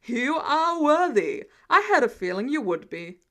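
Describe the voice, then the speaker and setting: A woman's voice: high voice, big pitch swings, measured pace, pushed and bright, exaggerated voice. A woman voicing a jovial, big-hearted male god of thunder in a hearty, proclaiming way for a story reading. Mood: hearty, proud.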